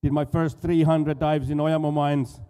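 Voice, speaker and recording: A man's voice: on the high side, level tone, moderate pace, mellow, smooth. A Finnish-accented man in his forties giving a casual expo stage talk, often stumbling and repeating words. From Finland. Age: 40s. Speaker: conference speaker, dive school owner.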